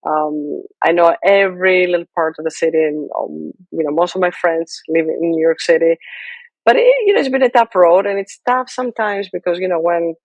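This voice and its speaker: A woman with a heavy Greek accent answers interview questions in an earnest, halting way, circling back to restart her phrases. She sounds to be in her forties. The recording is dry, with no room sound. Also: fairly low pitch, expressive, dry recording, muffled recording. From Greece. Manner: earnest, with frequent restarts and fillers. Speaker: guest, political candidate.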